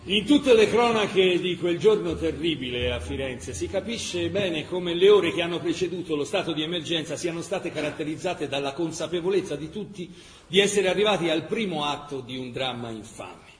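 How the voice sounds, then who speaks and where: An actor playing a solemn chronicler, formally narrating wartime events for radio theatre. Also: male voice, on the high side, expressive, normal speed, natural voice. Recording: long reverb, faint background noise.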